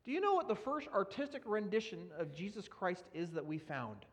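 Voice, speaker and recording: A man's voice: high voice, big pitch swings, a little fast. A man preaching a sermon, earnest and emphatic as he works through scripture readings. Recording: long reverb of a large hall.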